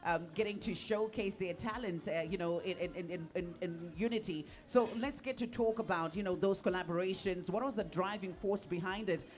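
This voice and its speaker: A woman's voice: lowish voice, normal speed, few pauses. A woman hosting a live radio show, reacting with gushing praise and many fillers as she introduces topics. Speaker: radio host. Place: radio studio.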